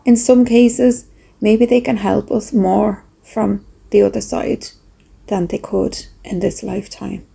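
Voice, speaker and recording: Slow, creaky-voiced woman guiding meditation. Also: mid-range voice, flat delivery. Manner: slow, soft, reflective, with pauses. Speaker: meditation guide. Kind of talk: gentle guided meditation, reflective talk.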